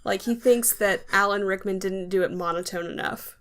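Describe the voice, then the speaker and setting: Medium-pitched woman chatting on a podcast. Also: normal speed. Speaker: podcast co-host. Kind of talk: casual chat.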